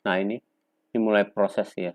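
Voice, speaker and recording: A man presenting an unscripted software tutorial step by step, speaking in a halting, instructional way with pauses between short phrases. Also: lowish voice, level tone, no echo. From Indonesia. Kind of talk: unscripted step-by-step screen demonstration.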